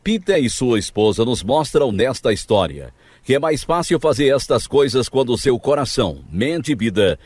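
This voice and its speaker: A man's voice: medium pitch, very animated, moderate pace, a little mellow. A man presenting a radio drama program as its announcer, reading scripted narration in a dramatic style. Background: music, plucked guitar.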